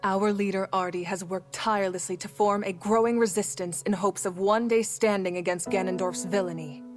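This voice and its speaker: A woman's voice: mid-range voice, fairly even intonation, normal speed, music in the background, natural voice. A woman playing a proud, loyal desert warrior in a video game cutscene, earnest and formal. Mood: proud, solemn.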